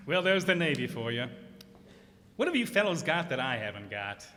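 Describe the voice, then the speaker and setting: An actor playing a nervous, skeptical navy sidekick in a radio play, with hesitant, stammering speech. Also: male voice, medium pitch, sing-song, normal speed, sharp tone. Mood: awkward, wry. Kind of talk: stage radio drama acting. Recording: reverberant hall with faint noise.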